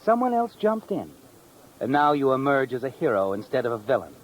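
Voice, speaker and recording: An actor playing an ominous, knowing storyteller, narrating a 1950s radio mystery with sardonic, suspenseful phrasing. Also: male voice, mid-range voice, wildly swooping pitch, a little fast, poor audio. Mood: taunting. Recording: old radio recording, music behind.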